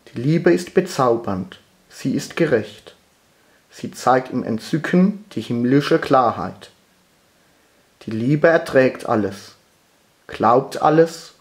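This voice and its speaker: A man reciting poetry solemnly, in measured, deliberate phrases with pauses between them. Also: mid-range voice.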